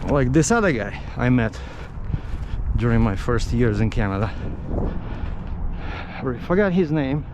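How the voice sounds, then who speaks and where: A middle-aged man with a Russian accent rambles through a casual story at an unhurried, slow pace. He often halts and searches for the next word. Light outdoor traffic noise sits behind his voice. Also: mid-range voice, sing-song. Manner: halting, searching for words. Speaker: vlogger.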